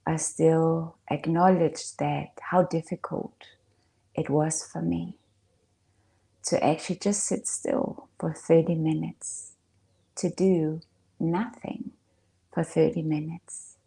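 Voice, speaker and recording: A woman speaking as a meditation guide, in a soft, breathy, hushed voice with long pauses. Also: low-pitched, steady tone. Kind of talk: gentle guided meditation talk.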